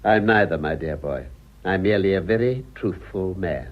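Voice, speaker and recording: An actor playing an older, worldly English gentleman and scheming father in a British radio drama, dryly amused and urbane. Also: deep voice, normal speed, lo-fi sound, natural voice. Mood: wry, worldly. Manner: dry, drawling, amused.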